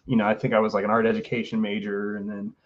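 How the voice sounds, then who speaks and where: A man's voice: fairly low pitch, completely flat delivery, fast, muffled recording. A man of about forty, a guest artist chatting casually over a video call, rambling with lots of fillers and restarts. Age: 40s. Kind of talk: casual interview chat.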